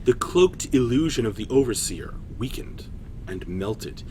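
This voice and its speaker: Very slow, dramatic male storyteller. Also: fairly low pitch, big pitch swings, mellow, slight background noise, poor audio. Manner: dramatic, deliberate pauses. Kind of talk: scripted fantasy story narration.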